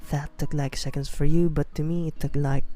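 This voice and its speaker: A man's voice: on the high side, level tone, brisk, mellow. A teenage boy from the Philippines with a Filipino accent, whose first language is Filipino, rambling awkwardly and apologetically while drawing. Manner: awkward, apologetic, self-mocking, many fillers. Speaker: beginner art vlogger. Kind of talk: rambling self-conscious voice-over while drawing. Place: house.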